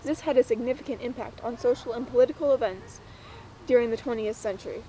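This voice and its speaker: Young female presenter, high voice, scripted mock-documentary read, slight noise behind. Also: quick pace, warm tone, rough recording. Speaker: presenter and interviewer.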